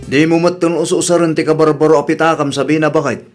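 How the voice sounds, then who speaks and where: A man's voice: a little high, flat delivery, quick pace, soft background music, close to an everyday voice. A man with an Ilocano Filipino accent acting a reproving, level-headed village husband in a radio drama. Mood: scolding.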